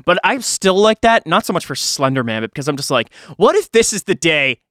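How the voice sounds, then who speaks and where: A man in his early thirties chatting casually as a podcast host, animated and self-deprecating. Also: a little high, a little fast, no room sound, studio quality. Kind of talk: casual group chat.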